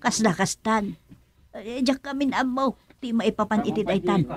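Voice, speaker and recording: A woman's voice: on the high side, normal speed, natural voice. A woman with a Filipino accent playing a villager in a radio comedy drama. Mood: explaining.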